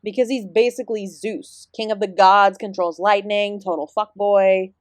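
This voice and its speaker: A woman's voice: mid-range voice, steady tone, moderate pace, no room sound, natural voice. A woman playing an ancient celestial dance teacher in an audio roleplay, sarcastic and exasperated, speaking with sardonic, sweary emphasis. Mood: defiant. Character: ancient female apsara dance guru, sarcastic, exasperated, fiercely independent. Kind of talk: scripted audio roleplay addressed to the listener.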